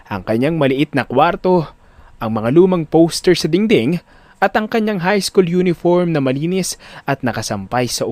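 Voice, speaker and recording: A man's voice: fairly high pitch, a little mellow, dry recording. A man narrating a scripted drama story in a dramatic style.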